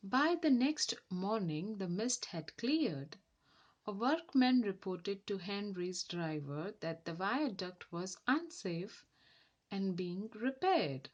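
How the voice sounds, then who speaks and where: A woman reading a children's story aloud as an expressive narrator. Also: mid-range voice, takes it slowly, dull audio.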